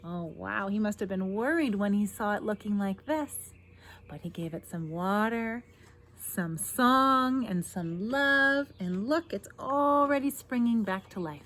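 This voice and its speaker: A woman's voice: mid-range voice, a little slow, fairly bright tone. A woman in her thirties reads a picture book aloud like a teacher, expressively, stressing key words and adding asides for young listeners. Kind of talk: children's read-aloud with commentary on the pictures. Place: outdoors in a garden.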